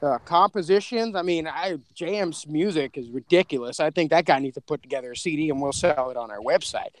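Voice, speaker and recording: High-voiced man chatting on a podcast. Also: brisk, no echo. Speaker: podcast co-creator. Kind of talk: casual podcast chat.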